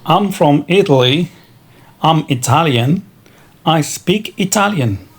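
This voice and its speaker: A man reads out country, nationality and language words as an English lesson drill, dwelling on each word at a very slow pace. His pitch rises and falls widely as he stresses each item, and a clear layer of background noise runs under the recording. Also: animated. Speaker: English teacher. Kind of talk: vocabulary drill reading.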